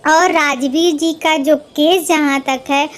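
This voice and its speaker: Female vlogger, very high voice, slow halting pace, repetitive informal news-gossip commentary. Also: steady tone.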